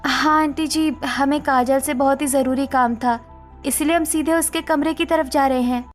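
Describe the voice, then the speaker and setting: A woman's voice: high-pitched, steady tone, normal speed, soft background music, lightly acted. A woman voicing a young, supportive and assertive daughter-in-law in a cartoon family drama, earnest and firm. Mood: helpful.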